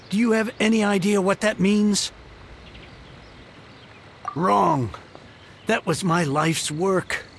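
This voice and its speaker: Grouchy, gravelly old bookworm. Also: male voice, high-pitched, a little hiss or hum. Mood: anguished. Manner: theatrical, irritable ranting. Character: grouchy, aggrieved older male book collector, ruined and melodramatic. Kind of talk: video game voice acting.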